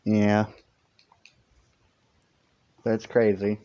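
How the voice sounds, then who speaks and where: A young man with a voice he calls high-pitched, chatting casually and rambling on a live stream, full of fillers and restarts. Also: completely flat delivery, drawn-out words, dark tone, smooth, lo-fi sound.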